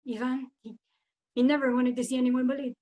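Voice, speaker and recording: An actress playing a grieving, embittered wartime Frenchwoman, halting and emotional, with a French accent. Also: high-pitched, level tone, a little mellow, dull audio, lightly acted. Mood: sorrowful. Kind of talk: theatrical monologue.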